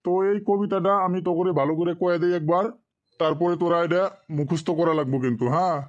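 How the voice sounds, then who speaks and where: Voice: mid-range voice, steady tone, quick pace, dull audio, natural voice. A performer voicing a strict male village schoolteacher in a cartoon, instructing pupils in colloquial speech. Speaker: teacher. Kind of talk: cartoon classroom lesson, recitation.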